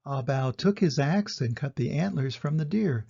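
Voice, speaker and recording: A man's voice: mid-range voice, expressive, normal speed, creaky, dark tone, breathy edge, highs cut off. An older man reading a children's storybook aloud as a scripted narration.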